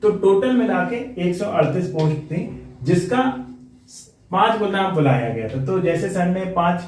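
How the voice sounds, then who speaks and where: A man's voice: sits high, lively intonation, moderate pace, darkish tone, soft background music. A man, co-presenting an informal coaching lesson and explaining things to viewers. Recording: very echoey room, distant mic, faint noise.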